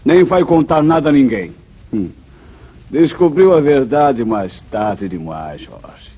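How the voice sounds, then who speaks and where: An actor playing a cold, treacherous, menacing outlaw in a 1950s Brazilian radio serial, with a Brazilian accent. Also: male voice, mid-range voice, big pitch swings, takes it slowly, dark tone, husky, exaggerated voice. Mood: cold menace. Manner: menacing, scheming, melodramatic. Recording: muffled vintage radio drama recording.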